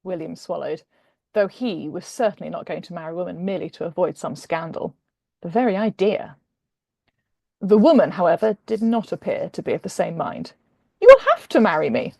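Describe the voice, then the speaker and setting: A woman's voice: on the low side, fairly quick, gravelly, warm tone, no echo. British woman author, dry close recording. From Britain. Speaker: guest author. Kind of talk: conversational introduction and expressive book reading.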